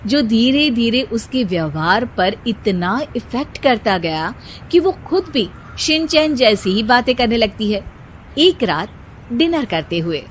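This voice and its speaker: A woman reading scripted narration for an animated moral story. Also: fairly high pitch, measured pace, slight background noise.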